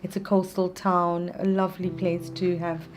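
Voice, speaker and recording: A woman born in South Africa, a psychiatrist answering interview questions in earnest, measured explanations. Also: on the low side, level tone, a little hiss or hum. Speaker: psychiatrist guest.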